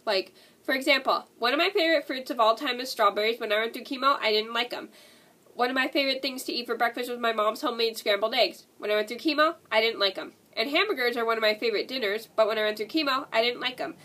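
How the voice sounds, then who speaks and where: A young woman talks to her camera with a cold, her nose blocked and stuffy. Her voice sits a little high and comes through bright and sharp. She rambles, circles back and fills gaps with "like" and "and stuff". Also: fast. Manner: rambling, repeats herself, many "like" and "and stuff" fillers. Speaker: vlogger. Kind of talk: casual talk to camera.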